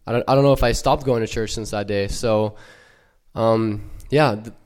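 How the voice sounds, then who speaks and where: A young man from Ukraine with no accent, giving a halting, earnest personal church testimony full of fillers and restarts. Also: lowish voice, steady tone, darkish tone.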